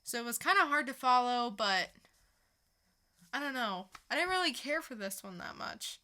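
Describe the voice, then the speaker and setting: A woman's voice: fairly high pitch, expressive, moderate pace, pushed and bright. A woman co-hosting a podcast, chatting casually in a hesitant, informal way. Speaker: podcast co-host. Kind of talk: casual chat.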